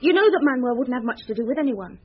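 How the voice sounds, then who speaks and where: An actress playing a distraught, defiant young mistress of a murdered gangster in a radio drama, sullen and blunt. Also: female voice, high voice, expressive, quick pace, husky. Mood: defensive, upset. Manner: sullen, emotional, blunt working-class speech. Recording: muffled radio drama recording.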